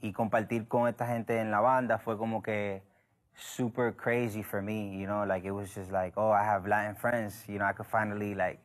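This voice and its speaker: A young man talks casually about his band mates, stopping and restarting as he goes. His voice sits a little low, and his manner is relaxed. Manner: switches between languages, halting restarts. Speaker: band member. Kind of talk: casual interview talk.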